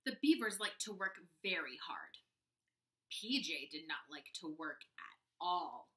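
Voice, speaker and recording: A young woman, a kindergarten teacher, reading a children's storybook aloud with deliberate, dramatic emphasis. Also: sits low, big pitch swings.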